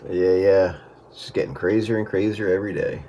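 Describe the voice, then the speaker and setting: A man's voice: sits low, brisk, heavy background noise. A middle-aged man chatting casually over amateur radio in a rambling way, often restarting his sentences.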